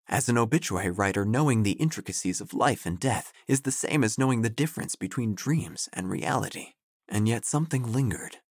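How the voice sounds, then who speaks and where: An actor playing a melodramatic, self-important obituary writer who narrates an audio drama with theatrical pauses. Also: male voice, fairly low pitch, no room sound, studio quality. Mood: ominous, awed. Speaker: narrator and protagonist. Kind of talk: first-person audio drama narration, gothic parody.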